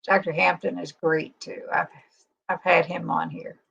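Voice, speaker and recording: A woman's voice: fairly low pitch, little pitch movement, unhurried, gravelly, a bit bright, breathy edge, dull audio. A woman hosting a live stream, chatting enthusiastically and reading out viewer comments.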